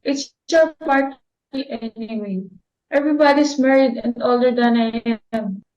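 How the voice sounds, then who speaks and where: A woman's voice: on the high side, measured pace, darkish tone, lightly acted. A young woman reading the part of a young debutante daughter, plain and mildly reluctant. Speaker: reader for Elaine. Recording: dull lo-fi recording.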